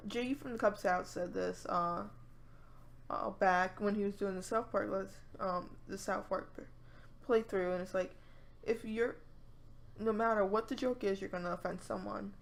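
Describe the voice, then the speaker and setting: Slow-talking raspy young woman. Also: mid-range voice. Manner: rambling, many fillers and restarts. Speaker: YouTuber. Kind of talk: casual vlog chat.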